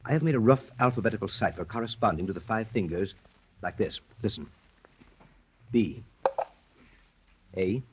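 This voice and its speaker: An actor playing a stern old professor in 1930s radio drama, speaking gravely and deliberately with a sardonic edge. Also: male voice, on the low side, lively intonation, slight background noise, close to an everyday voice. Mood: brisk, sardonic. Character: grim, stern old professor, a secret detective. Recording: dull lo-fi 1930s radio recording.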